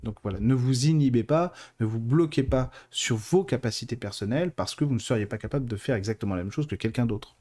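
A man with a relaxed, mellow voice hosts a live stream and answers viewers. He talks informally and colloquially, wandering into digressions as he goes. The delivery is chatty and unscripted. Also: mid-range voice, fast talker, few pauses. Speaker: host of a live stream on mediumship and clairvoyance. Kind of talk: casual live talk answering viewer questions.

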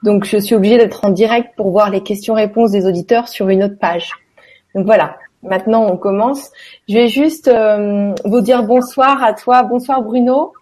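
A woman's voice: mid-range voice, normal speed, a little mellow. A woman hosting a casual live web interview in a hesitant way. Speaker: host.

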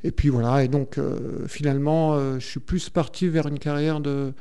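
A man's voice: on the high side, steady tone, normal speed, mellow, clear, resonant tone. A man, a singer, chatting as a radio guest, hesitant with many fillers and restarts. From France. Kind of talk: radio interview chat.

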